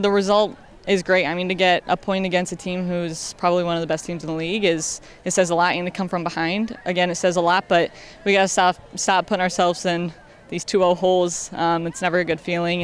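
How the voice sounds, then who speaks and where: A woman's voice: on the low side, level tone, quick pace, hardly any pauses. A young woman midfielder speaking candidly in a post-game interview, outdoors at a stadium at night into a handheld mic. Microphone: handheld mic held at chin.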